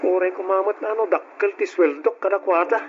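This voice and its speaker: A man's voice: high-pitched, brisk, music in the background, natural voice. A man playing a meek, weary, put-upon young nephew in a radio drama, speaking with an Ilocano accent. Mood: weary, grumbling. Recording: muffled, noisy old radio drama.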